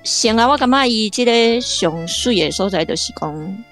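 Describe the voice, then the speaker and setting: A woman's voice: on the high side, lively intonation, normal speed, fairly bright tone, soft background music. A woman with a Taiwanese accent giving conversational literary commentary in an explanatory way, like a guest academic.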